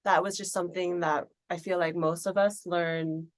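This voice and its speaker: A woman from Thailand hosting a podcast, talking in a relaxed conversational way. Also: fairly low pitch, normal speed, no echo, clean studio sound. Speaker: podcast host. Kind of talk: casual podcast interview.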